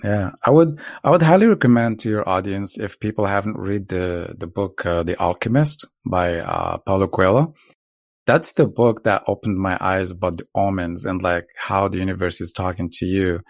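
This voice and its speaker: A man's voice: low-pitched, normal speed, no room sound, clean studio sound. A man telling stories casually in conversation as a podcast guest, in a reflective, philosophical manner. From Morocco.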